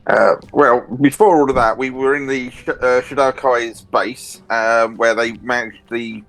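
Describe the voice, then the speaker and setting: A man's voice: mid-range voice, fairly even intonation, unhurried, fairly bright tone, rough recording. A man playing in a tabletop game, casually recapping the last session with hesitations and fillers. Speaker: player.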